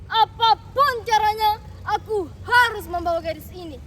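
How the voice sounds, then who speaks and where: A woman's voice: extremely high pitch, moderate pace, a bit bright, voice pushed up. A woman voicing an arrogant, cruel king in a folktale, haughty and dismissive. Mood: determined. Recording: noisy, distant microphone.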